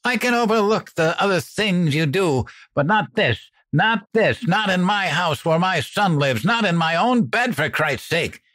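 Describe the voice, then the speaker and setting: A male reader puts on a distraught mother in an audiobook. The voice is high, harsh and tight, strained with anguish yet defiant. It sounds close and dry. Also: sing-song, slow pace, no room sound, heavily acted. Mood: screeching outrage.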